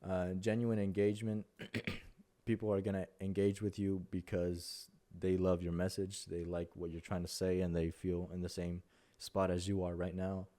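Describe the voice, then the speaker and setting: A man's voice: sits low, little pitch movement, normal speed, warm tone. A young Mexican man with a Spanish-accented voice, giving casual advice as a content creator, rambling with many fillers and restarts. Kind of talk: casual talking-head advice.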